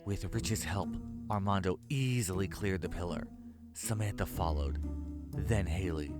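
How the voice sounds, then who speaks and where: A man's voice: low-pitched, a little slow, music in the background. A man reading scripted narration for a horror story.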